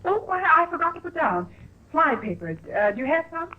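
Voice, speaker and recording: A woman's voice: very high-pitched, sing-song, brisk. An actress playing a breezy, folksy married American woman in Victorian England, in 1950s radio drama style, with slightly hesitant speech. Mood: chatty. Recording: noisy, muffled old radio recording.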